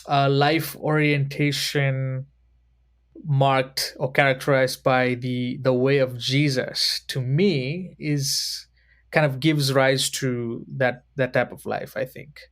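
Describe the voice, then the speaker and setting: A man in his thirties from Sri Lanka, a podcast guest who speaks in a measured, thoughtful way and slows down to choose his words. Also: medium pitch, flat delivery, fairly bright tone. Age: 30s. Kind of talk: reflective conversation.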